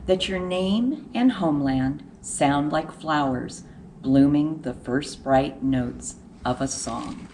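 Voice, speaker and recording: Low-pitched woman slowly reading a storybook. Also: warm tone, slight background noise, rough recording. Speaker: court administrator reading a picture book aloud. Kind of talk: children's storybook read-aloud.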